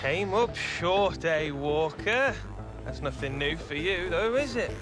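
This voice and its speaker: An actor playing a cocky young footballer in a video game, trading mocking banter. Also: male voice, a little high, lively intonation. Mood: taunting. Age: young adult. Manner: mocking, drawn-out delivery. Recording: loud music behind the voice.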